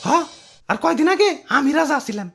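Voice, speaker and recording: A woman's voice: high-pitched, big pitch swings, fairly quick, gravelly, over music, exaggerated voice. A woman voicing a cheeky cartoon chili minister, once a proud king, bantering with mock deference in a Bangladeshi accent. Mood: boastful. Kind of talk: comic cartoon voice acting.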